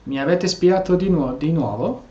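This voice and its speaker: A man's voice: on the high side, brisk, crowd noise. A man with an Italian accent giving casual, theatrical gameplay commentary as a streamer, reading game text aloud in role. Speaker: gaming streamer. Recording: muffled, echoey, faintly noisy room.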